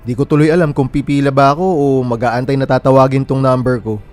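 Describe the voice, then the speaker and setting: A man's voice: medium pitch, steady tone, fast talker, a little mellow, soft background music, lightly acted. An actor playing a friendly young man in a radio drama, talking in a casual, conversational way. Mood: worried, chatty. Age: young adult. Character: friendly, worried young man waiting at a bus terminal. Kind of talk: naturalistic radio drama dialogue in Tagalog.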